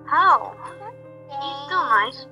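A woman's voice: high voice, lively intonation, drawn-out words, pushed and bright, highs cut off, faint music behind. A woman in a casual, improvised game roleplay, speaking in a playful and insistent way. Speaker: gamer in a group roleplay.

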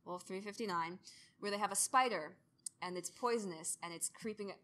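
A woman's voice: moderate pace, bright, forceful tone, echoey room. A young woman talking casually as a podcast creator presenting their own series, at a desktop microphone. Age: young adult. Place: indoor stage, dark backdrop. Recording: noisy room with low rumble.

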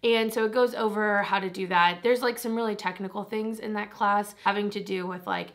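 A young woman with a raspy voice chatting to camera about beauty products in a bubbly, rambling way, laughing often. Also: medium pitch, fairly even intonation, a little fast, fairly bright tone. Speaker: beauty vlogger. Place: small decorated room indoors.

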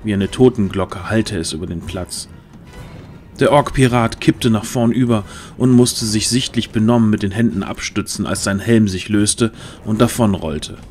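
A man's voice: lowish voice, moderate pace, darkish tone, soft background music. A man reading scripted, dramatic fantasy narration as the narrator of an audio drama.

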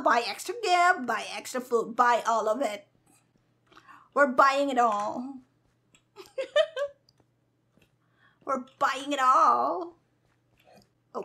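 Giggly woman with a very high, bright voice. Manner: giggly, animated, sassy. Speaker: gaming streamer. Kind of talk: casual playful gameplay commentary.

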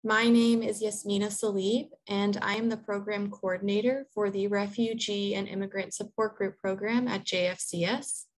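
A woman's voice: mid-range voice, steady tone, normal speed, hardly any pauses, rough recording. A woman in her thirties moderating a panel, introducing the speakers in an organized way with frequent um's, over earphones on a video call. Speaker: program coordinator, moderator. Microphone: earphones with mic on a video call.

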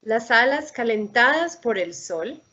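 A woman with a fairly high voice reads a picture book to young children. Her pitch moves in lively swings, and the sound is dull and lo-fi, as on a video call. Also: moderate pace. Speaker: storybook reader. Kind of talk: children's picture book read-aloud in Spanish. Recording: muffled, lo-fi video call audio.

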